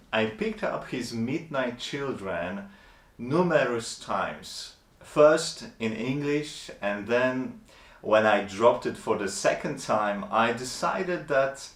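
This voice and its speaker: Guy reviewing a book, slow and kinda formal, roomy echo. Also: mid-range voice, a bit bright. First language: Polish. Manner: measured, thoughtful, slightly formal. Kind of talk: reflective book review monologue.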